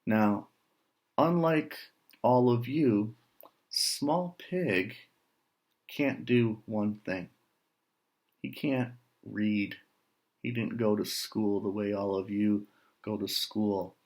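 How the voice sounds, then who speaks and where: Slow-paced, low-voiced American man storytelling. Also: a little mellow. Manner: deliberate, with rhetorical questions. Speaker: writer reading a picture book aloud to children. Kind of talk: read-aloud storytelling with chatty asides to children.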